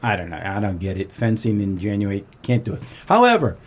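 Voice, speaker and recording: An older man hosting a talk radio show with a playful, hammy announcing manner and a husky voice. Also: on the low side, very animated, fairly quick. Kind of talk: talk radio hosting and live sponsor reads.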